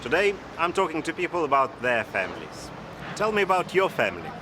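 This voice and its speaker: A man's voice: a little high, big pitch swings, fairly quick, noisy background. A man from Moscow presenting and putting interview questions to people in a scripted style. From Moscow, Russia.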